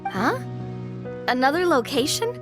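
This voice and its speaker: A woman's voice: high voice, sing-song, measured pace. A woman voicing a cheerful, confident, playful young woman adventurer in a video game. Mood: enthusiastic. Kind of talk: video game character acting. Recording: music behind the voice.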